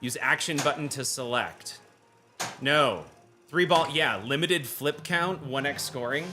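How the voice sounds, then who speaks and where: Excitable pinball-streaming man. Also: mid-range voice, measured pace, music in the background. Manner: excitable, laughs easily, stammers. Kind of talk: live game-stream chat.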